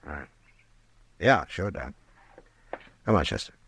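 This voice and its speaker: Really low voiced western marshal, calm, few words, steady, old radio show style. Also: male voice, a little hiss or hum, exaggerated voice. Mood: calm, concerned. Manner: laconic, unhurried, plain-spoken. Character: calm, laconic frontier marshal, steady and kindly.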